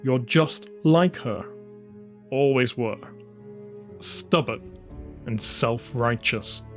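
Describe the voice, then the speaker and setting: A broken old commander , played by a man, speaks slowly and without emotion. He sounds clinical, bitter and resigned, in an audiobook drama with music behind him. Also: medium pitch, fairly bright tone.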